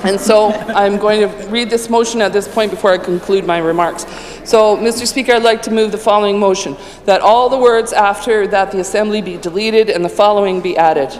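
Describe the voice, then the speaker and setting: A woman speaks formally as an opposition critic, deliberate and sometimes hesitant. A long reverb from a legislative chamber hangs on her words. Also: mid-range voice. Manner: deliberate, with fillers and restarts. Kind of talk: formal legislative speech, moving a motion.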